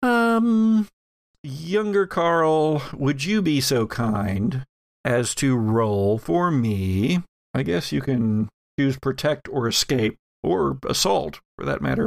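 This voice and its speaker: Slow-paced man running a tabletop game. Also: mid-range voice, vocal fry, no room sound, studio quality. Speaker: game master. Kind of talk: narrating a tabletop role-playing game, conversational.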